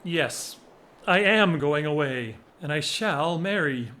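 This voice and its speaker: Honourable gentleman, period audio play. Restrained, formal and earnest, holding back his love. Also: fairly high pitch, expressive, slow, exaggerated voice. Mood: bitter resolve. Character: loyal, earnest gentleman secretly in love with friend's wife. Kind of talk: period drawing-room audio drama acting.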